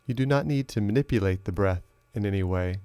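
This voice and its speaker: Male meditation teacher, fairly low register, measured instructional delivery with generous pauses, very dry recording. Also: dark tone, clear, resonant tone, no echo. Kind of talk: guided meditation narration.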